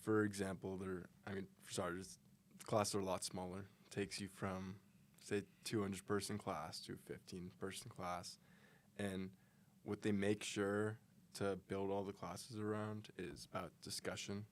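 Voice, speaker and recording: A young man, a college student, answering interview questions in an earnest, steady way with some fillers. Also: sits low, measured pace, a little hiss or hum. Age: young adult.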